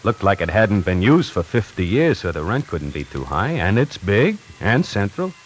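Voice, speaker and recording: A hardboiled, slangy narrator. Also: male voice, fairly low pitch, big pitch swings, normal speed, a little hiss or hum. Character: wisecracking male necktie salesman narrating his own story. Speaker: narrator and lead character. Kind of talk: 1940s radio acting. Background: music.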